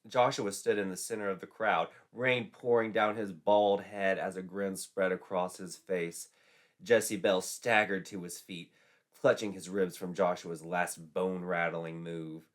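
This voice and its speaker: A man's voice: low voice, fairly even intonation, unhurried. A man narrating a folklore tale as a storyteller, in a dramatic scripted style.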